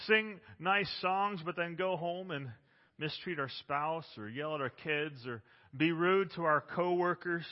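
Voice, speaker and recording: A man in his forties preaching a sermon as pastor, loud and emphatic, with frequent restarts, recorded on a microphone in a church. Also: on the high side. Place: church sanctuary. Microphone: handheld or stand microphone. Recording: dull sound with long hall reverb.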